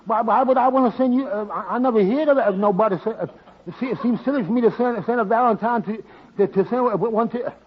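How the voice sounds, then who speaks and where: A meek little barber who trips over his words in a high, nervous voice, sweet and a bit dim; old-time radio comedy with a dull, lo-fi vintage sound. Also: quick pace, slightly rough. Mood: flustered. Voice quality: stammering, hesitant. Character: timid stammering male barber, sweet-natured and dim. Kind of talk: 1940s radio comedy acting.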